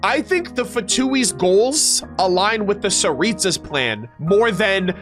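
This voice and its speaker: A man with a notably high voice streams his reaction to game lore. He reads story passages aloud, then slips into chatty, animated commentary for his viewers. Faint guitar music plays behind him. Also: slow. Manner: chatty, animated asides between read passages. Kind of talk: reading story text aloud with off-the-cuff casual commentary.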